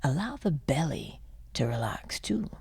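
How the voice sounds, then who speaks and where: A woman guiding a sleep meditation, speaking gently and soothingly in a breathy, creaky half-whisper with lingering pauses. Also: extremely low pitch, big pitch swings, no echo. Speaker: meditation guide. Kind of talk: guided sleep meditation, soft instruction.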